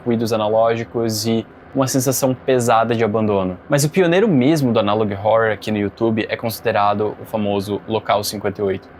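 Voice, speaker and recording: A man's voice: lowish voice, darkish tone. A Brazilian man hosting and narrating an informative scripted video essay. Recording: faint background noise.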